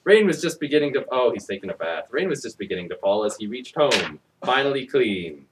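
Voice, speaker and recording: A man's voice: medium pitch, big pitch swings, a little fast, far from the mic, poor audio. A man giving a mock-dramatic storybook reading with sardonic, profane asides in a theatrical delivery. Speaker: comic storybook reader. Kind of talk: mock-dramatic reading with ad-libbed crude commentary.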